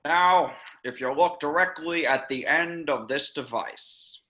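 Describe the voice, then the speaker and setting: A man's voice: on the high side, takes it slowly, bright, forceful tone. A man reading the part of a stern, dry, official agency chief in an amateur script read. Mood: official, brisk.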